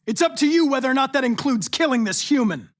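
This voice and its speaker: A man's voice: very high-pitched, lively intonation, quick pace. A man voicing a polite, coolly logical young android detective in a video game, with formal, precise phrasing. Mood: forceful, urgent. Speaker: police investigator android.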